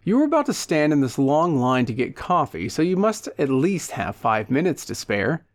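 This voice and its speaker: A man's voice: a little high, big pitch swings, normal speed. A man voicing a falsely jovial, pushy man in an audiobook, with exaggerated chummy enthusiasm. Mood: pushy, coaxing.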